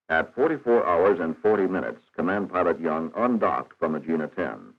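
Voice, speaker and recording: A man reading scripted narration for a 1960s educational film in a formal, measured, matter-of-fact manner. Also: sits low. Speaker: documentary narrator. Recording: dull, band-limited 1960s film soundtrack.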